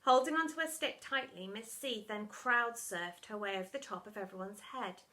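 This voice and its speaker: A woman's voice: mid-range voice, moderate pace, bright, forceful tone. A woman narrating a children's story in a dramatic storytelling style, as for primary school pupils.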